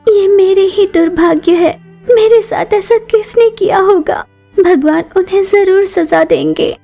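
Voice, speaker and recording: A woman's voice: very high voice, measured pace, gravelly, warm tone, faint music behind, pitched above the performer's own voice, heavily acted. A woman voicing an earnest, plaintive young female bird in a children's cartoon. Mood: sorrowful. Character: sorrowful, virtuous young female bird, beauty contestant. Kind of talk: Hindi children's cartoon voice acting.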